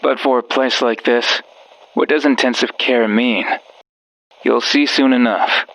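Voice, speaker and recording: Casting: male actor as a menacing false friend for a horror visual novel, medium-pitched, coaxing and darkly wry. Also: fairly even intonation, measured pace, fairly bright tone, low-quality recording. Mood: coaxing, ominous. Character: sinister, coaxing male voice of a friend over a radio.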